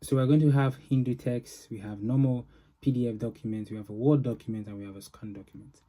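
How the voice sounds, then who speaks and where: Dark-voiced man presenting a software demo. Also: medium pitch, steady tone, fast. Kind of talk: informal product demo walkthrough.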